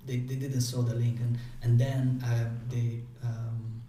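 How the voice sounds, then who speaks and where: A man with a mellow, flat voice and a non-native way of speaking, pausing and restarting often as he answers interview questions. He is heard from some distance in a very echoey room, with a faint hiss underneath. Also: mid-range voice. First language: not English. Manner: halting, many restarts and fillers. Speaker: guest.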